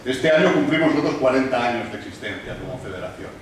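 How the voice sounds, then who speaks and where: A man in his fifties, a Catalan native, giving a measured talk as a guest speaker at a panel table, with many pauses and restarts. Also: medium pitch, expressive, slight background noise. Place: room with large windows, panel table. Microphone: desk mic on stand, filmed from across room. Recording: echoey room from a distance.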